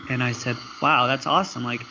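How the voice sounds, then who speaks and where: Flat-voiced man on a lo-fi line. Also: medium pitch. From United States. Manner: flat, halting storytelling with fillers. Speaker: guest, student affairs professional. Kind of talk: casual interview answers. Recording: dull lo-fi audio with faint noise.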